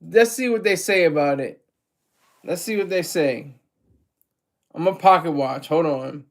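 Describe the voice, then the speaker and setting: A man's voice: on the high side, unhurried, creaky. A man hosting a casual livestream, chatting to his audience in a loose, rambling way with frequent restarts and chuckles. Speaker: livestream host reading chat.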